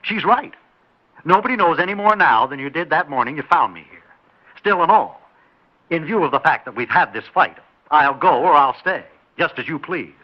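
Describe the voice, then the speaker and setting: A man's voice: a little high, lively intonation, moderate pace. A smug, mysterious stranger who treats every argument as a game and stays cool and amused. His voice rises and falls with a teasing lilt. The sound is muffled, like an old radio drama. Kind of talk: 1950s radio drama acting. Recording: dull old radio recording.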